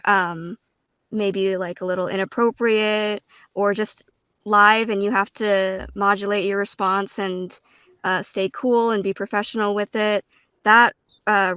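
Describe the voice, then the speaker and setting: A woman speaking as a panel guest in a measured, thoughtful way with pauses to find her words. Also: medium pitch, a bit bright, smooth, no echo, studio quality. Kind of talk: casual panel talk.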